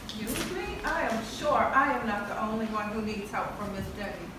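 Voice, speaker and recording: A woman's voice: medium pitch, animated, moderate pace, noisy background, lightly acted. A woman acting an earnest, devoted wife with a troubled marriage in a stage play. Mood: polite, defensive. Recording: echoey stage, distant mic, loud music.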